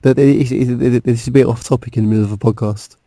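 Male co-host, rapid and jokey, stammering word repeats, dry close-up sound. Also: medium pitch, steady tone, mellow, no room sound. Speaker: podcast co-host. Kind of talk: casual banter.

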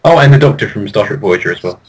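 A man co-hosting a podcast in casual, unscripted banter with a playful, excitable manner. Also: lowish voice, fast, slightly rough, low-quality recording. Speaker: podcast host.

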